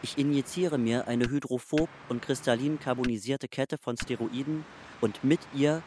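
An actor as a self-assured man, medium pitch. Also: normal speed, background noise, close to an everyday voice. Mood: measured, resolute. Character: self-assured transgender man reflecting on testosterone. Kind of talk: literary radio play monologue.

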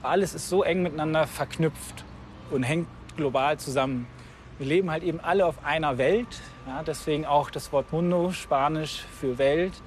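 A man answers interview questions in a somewhat high voice. He is outdoors in a city with faint traffic noise around him. He sounds thoughtful and hesitates now and then. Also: moderate pace.

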